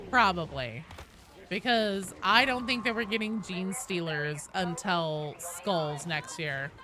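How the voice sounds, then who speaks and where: A woman's voice: mid-range voice, noisy background. A woman live streaming a video game, chatting casually and answering viewers in a joking, sweary way. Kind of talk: casual gaming chat, reading and answering viewer comments.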